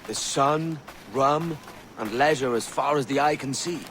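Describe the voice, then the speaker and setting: An actor voicing a brash, easygoing young pirate captain in a video game cutscene. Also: male voice, medium pitch, a little slow, a bit bright, slight background noise, natural voice. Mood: persuasive.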